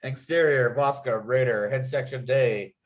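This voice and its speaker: A man's voice: medium pitch, measured pace. A man reading out screenplay scene headings and action as the narrator of a script table read. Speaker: narrator and writer.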